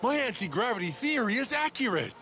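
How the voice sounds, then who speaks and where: A man's voice: extremely high pitch, big pitch swings, brisk, pushed and bright, full character voice. A man voicing an eager, cocky young alien inventor who explains things condescendingly in a video game. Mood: thrilled. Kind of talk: video game cutscene dialogue. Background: background music.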